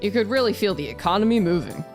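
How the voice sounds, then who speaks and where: A woman's voice: mid-range voice, big pitch swings, quick pace, a little mellow, soft background music. A woman streamer reading visual novel narration aloud, with casual asides. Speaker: VTuber streamer.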